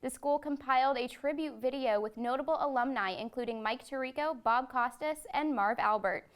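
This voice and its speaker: A young woman anchoring a student newscast, reading in a clear, measured way. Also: sits high. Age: young adult. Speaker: news anchor. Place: student TV studio.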